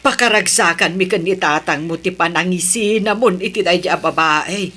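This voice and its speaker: A woman's voice: on the low side, normal speed, sharp tone, slightly rough. An actress playing a worried older mother of a grown son in a radio drama, with an Ilocano accent. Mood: relieved, disapproving.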